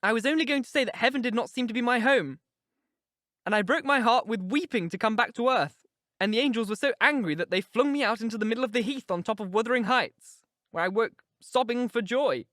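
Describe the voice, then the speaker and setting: A woman's voice: a little high, a little fast, a bit bright, dry recording, clean studio sound, pitched above the performer's own voice, natural voice. A woman voicing an impetuous, headstrong young woman who speaks with intense, emphatic passion in an audiobook reading. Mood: yearning.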